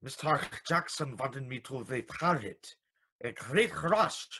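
An actor playing a polite, fussy, apologetic clock repairman in a radio drama, with a husky, hesitant, formal delivery. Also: medium pitch, animated, slow, pushed and bright, heavily acted. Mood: fussy, wary. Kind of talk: vintage radio drama acting.

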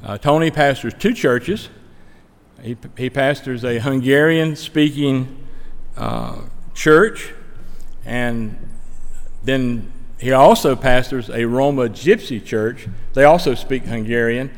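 A man's voice: medium pitch, slow. A man with a Stokes County North Carolina accent giving a folksy, earnest mission trip report to a church, speaking plainly and conversationally over slides. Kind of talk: plainspoken slide-show presentation. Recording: long hall reverb.

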